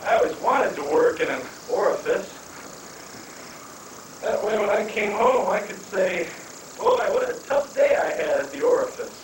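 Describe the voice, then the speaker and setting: Man as self-satisfied clownish newcomer, swooping pitch, stage satire, distant echoey recording. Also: very animated, moderate pace, slight background noise. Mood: smug. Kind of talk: amateur dramatic monologue in satirical play.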